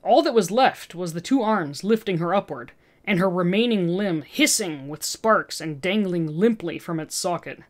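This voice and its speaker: A woman's voice: on the low side, animated, moderate pace. A woman reading fiction aloud as narrator, in a dramatic scripted storytelling style.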